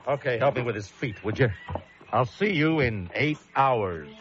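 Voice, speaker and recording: An actor playing a mischievous, breezy lighthouse keeper who takes charge, chatty and teasing, in an old-time radio drama. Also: male voice, medium pitch, animated, moderate pace, a bit bright, highs cut off, a little hiss or hum, lightly acted. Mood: commanding.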